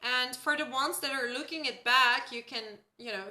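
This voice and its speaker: A woman's voice: sits high, steady tone, normal speed, pushed and bright, reverberant. A woman from the Netherlands, a native Dutch speaker, hosting a casual live-stream astrology reading at home and often checking in with her viewers by asking "right?".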